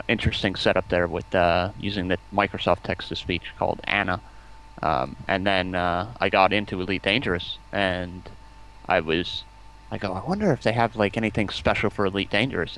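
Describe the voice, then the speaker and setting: A man chatting casually as a guest on a stream, with a halting, pausing delivery. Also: low-pitched, a bit bright. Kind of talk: casual chat. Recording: clearly noisy voice chat with ambience.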